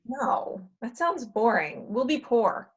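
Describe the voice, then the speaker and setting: Actress doing pushy cynical woman in her twenties, high voice, blunt dry delivery, comedy play. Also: big pitch swings, slow pace, slightly rough, exaggerated voice. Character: cynical, money-minded, pushy woman of twenty-seven from the future. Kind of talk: comedy play read aloud.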